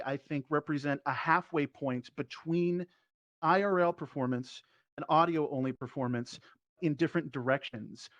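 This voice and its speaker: A man with a fairly high voice talks as a panelist, explaining things in a conversational way. The sound is dry and somewhat dull, like an online voice chat. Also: dry recording. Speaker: panelist, improv performer and teacher. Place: virtual reality social platform meetup, online.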